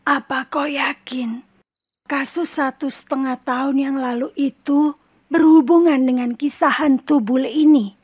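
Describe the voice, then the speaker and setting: A woman voicing a calm, self-assured psychic in a horror cartoon, speaking in a measured, often hushed way. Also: sits high. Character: calm female psychic, middle-aged, sure of herself. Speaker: paranormal.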